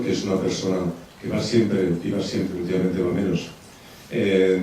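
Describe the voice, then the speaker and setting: A man's voice: sits low, mellow, slight background noise. A man moderating a debate after a film screening, speaking hesitantly with many fillers and restarts. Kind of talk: post-screening Q&A. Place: cinema hall. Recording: very echoey hall from far off.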